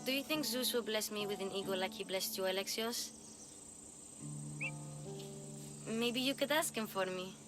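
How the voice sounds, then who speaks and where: A woman's voice: medium pitch, brisk, music in the background, lightly acted. An actress playing a cheeky, plucky young girl in a video game, teasing and inquisitive. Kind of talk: cinematic video game acting.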